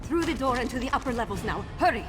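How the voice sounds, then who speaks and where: Casting: female actor as young noblewoman fighter in a fantasy game; high, urgent, commanding, over loud music. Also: expressive, close to an everyday voice. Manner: brisk, urgent orders. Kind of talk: fantasy video game voice acting.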